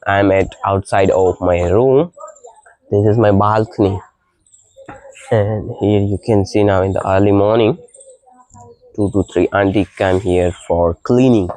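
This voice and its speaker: Young guy with a cold, super low voice, slow and halting, lots of uhs, dry close sound. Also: a little mellow, no room sound, poor audio. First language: an Indian language. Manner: halting speech with many fillers and little laughs. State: has a cold, voice deeper than usual. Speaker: vlogger. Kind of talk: casual morning vlog, practicing English. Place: outdoor balcony with birdsong in the early morning. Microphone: mic with noise cancellation.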